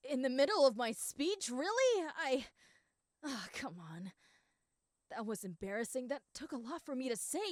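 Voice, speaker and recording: A woman playing a proud, competitive schoolgirl rival in a romantic roleplay, speaking in an earnest, blunt, halting and easily flustered way. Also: fairly high pitch, no room sound, studio quality. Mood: flustered, tender. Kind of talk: roleplay audio acting addressed to the listener.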